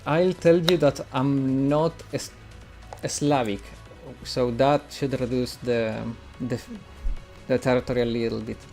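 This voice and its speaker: A man from Italy streams a game live, his English accent a blend of British and American. He chats casually and answers viewers' questions between moves, in a mid-pitched voice with faint game music behind him. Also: steady tone, a little slow, warm tone. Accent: mixed British and American English. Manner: chatty, answers chat while playing. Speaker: gaming streamer.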